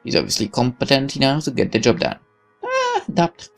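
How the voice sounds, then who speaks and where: A man hosting a gaming video, reading the story narration aloud theatrically, with expressive asides and swearing. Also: medium pitch, moderate pace, gravelly, soft background music.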